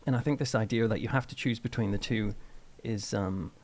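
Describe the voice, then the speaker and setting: A man's voice: on the low side, fairly quick. A middle-aged man speaking as an interview guest, explaining ideas in a thoughtful, discursive, conversational way. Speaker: interview guest, church minister and author.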